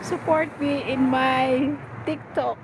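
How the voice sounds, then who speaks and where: A woman from the Philippines with a Filipino accent, a native Tagalog speaker, chatting casually as a live-stream host in an effusively thankful, halting way. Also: high voice, steady tone. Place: outdoors at a scenic spot. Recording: noisy outdoor live stream, traffic ambience.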